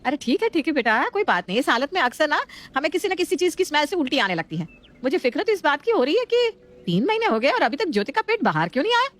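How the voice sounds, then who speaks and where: A woman's voice: high-pitched, sing-song, fast, pitched above the performer's own voice. Woman playing an emphatic, fussing mother. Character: doting, emotional middle-aged mother.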